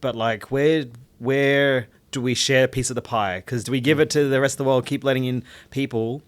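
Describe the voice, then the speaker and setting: A man's voice: medium pitch, steady tone, moderate pace. A man from Melbourne with an Australian accent, co-hosting a podcast in an informal, agreeable, conversational way. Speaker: podcast co-host. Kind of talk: casual unscripted podcast discussion.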